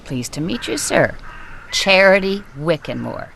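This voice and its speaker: A woman's voice: lowish voice, animated, a little slow, creaky, fairly bright tone, full character voice. Sly, swooping murderous mother, old radio. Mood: falsely polite. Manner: mock-polite, theatrical. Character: sly murderous mother, genteel yet bitterly vengeful. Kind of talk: old-time radio drama acting. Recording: noisy vintage radio broadcast.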